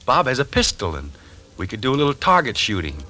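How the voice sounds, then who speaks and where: An actor playing a troubled, brittle architect husband in an old-time radio drama. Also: male voice, mid-range voice, wildly swooping pitch, quick pace, bright, forceful tone. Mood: cheerful. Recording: noisy vintage radio recording.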